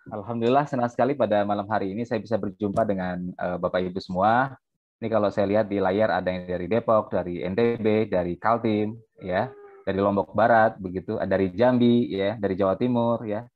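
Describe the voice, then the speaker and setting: Brief: man in his 30s from South Sumatra, online seminar speaker, fast delivery, lowish voice, filler-heavy, thin call audio. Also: steady tone. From South Sumatra, Indonesia. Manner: fast, repetitive, many fillers and "ya". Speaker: guest speaker. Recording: tinny phone-like line.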